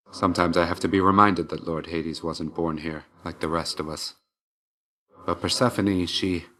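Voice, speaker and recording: A man voicing a stern, reserved and dutiful god of death in a video game, speaking in a formal, measured way. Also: deep voice, sharp tone. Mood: reflective, guarded. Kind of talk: video game character voice lines.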